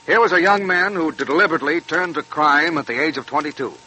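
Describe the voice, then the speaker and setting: A man's voice: a little high, quick pace, pushed and bright. A man hosting a 1940s radio crime show in a formal, scripted style. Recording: noisy, clicking 1940s radio recording.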